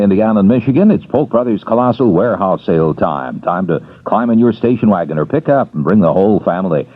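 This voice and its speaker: A man's voice: lowish voice, breathy edge. A man reading a hard-sell radio commercial in an upbeat, punchy salesman's delivery. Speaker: radio ad announcer. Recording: tinny old radio broadcast.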